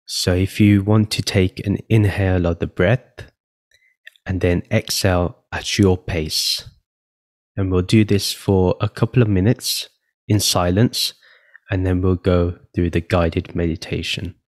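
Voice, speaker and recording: Low-voiced man guiding a meditation. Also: fairly even intonation. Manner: gentle, unhurried, pausing between phrases. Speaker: meditation guide and podcast host. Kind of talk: guided meditation, spoken instruction.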